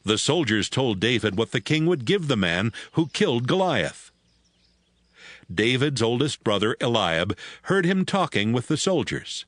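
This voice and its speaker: A man's voice: mid-range voice, sing-song, measured pace, fairly bright tone, no room sound. A man narrating a dramatized audiobook as a scripted storyteller. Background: music.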